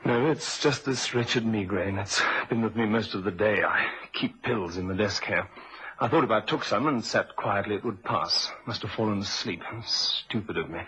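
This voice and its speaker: Tired, apologetic office man, radio drama. Lowish but bright, tense voice, hesitant, slightly noisy old recording. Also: normal speed. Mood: weary, apologetic. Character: weary, evasive man working late at the office. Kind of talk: vintage radio drama acting.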